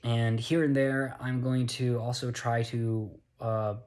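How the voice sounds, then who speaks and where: A young man with an American accent talks into a phone he holds in his hand, sounding tired at the end of a long week. His voice sits at a middling pitch, and his delivery is earnest and loose. He often stops to correct himself as he explains his plans. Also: little pitch movement, takes it slowly, a little mellow. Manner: rambling, self-correcting, earnest. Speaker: vlogger. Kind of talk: casual vlog monologue. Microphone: handheld phone.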